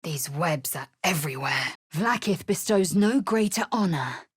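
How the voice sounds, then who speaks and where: An actress playing a fierce, haughty young female alien warrior in a video game, with a raspy voice and clipped, imperious, disdainful delivery. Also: on the low side, animated, measured pace, exaggerated voice. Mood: resolute, curt. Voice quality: raspy, husky. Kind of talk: video game character acting.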